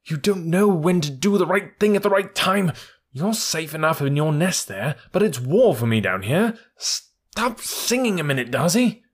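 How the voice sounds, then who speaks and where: Man reads a brave little mongoose, raised pitch, husky, sharp and impatient. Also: expressive, normal speed. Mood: impatient, urgent. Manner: sharp, urgent and commanding. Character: small brave male mongoose, fierce and determined.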